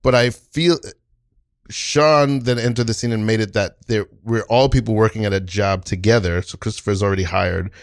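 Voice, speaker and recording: A man giving casual, encouraging coaching as the host of an improv class podcast, speaking in a halting way. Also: on the low side, dry recording, clean studio sound. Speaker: improv teacher and show host.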